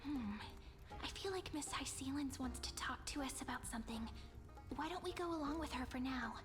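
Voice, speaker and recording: An actress playing a sweet, perceptive young girl companion in a fantasy game, with gentle, courteous and slightly wry phrasing. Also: high voice, moderate pace, close to an everyday voice. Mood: thoughtful. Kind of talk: scripted fantasy game voice acting. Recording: game music loud behind.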